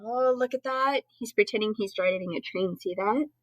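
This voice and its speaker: A woman's voice: medium pitch, moderate pace, a bit bright, no echo, low-quality recording. A woman teacher reading a children's storybook aloud, expressively, with chatty asides that explain things simply to young listeners. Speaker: schoolteacher.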